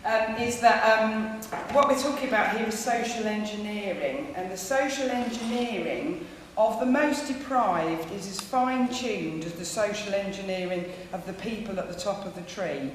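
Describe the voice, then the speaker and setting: A woman's voice: mid-range voice, moderate pace. A woman speaking at a campaign event, earnest and persuasive, with frequent ums. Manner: says she has a loud voice. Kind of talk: public talk at an event. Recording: echoey hall, faint noise.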